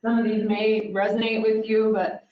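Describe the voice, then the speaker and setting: A woman's voice: on the high side, little pitch movement, moderate pace. A woman speech-language pathologist giving a casual educational presentation, explaining with frequent "kind of" fillers. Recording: roomy, distant, dull sound.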